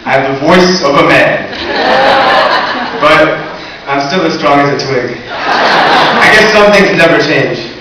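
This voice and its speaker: A man's voice: on the high side, takes it slowly, pauses often. Teen guy speaking in an echoey hall. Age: teens. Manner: humorous, earnest speech delivery. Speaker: valedictorian. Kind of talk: graduation speech. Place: large echoey hall or gym. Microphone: recorded from far off in the audience. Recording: distant echoey hall recording with hiss.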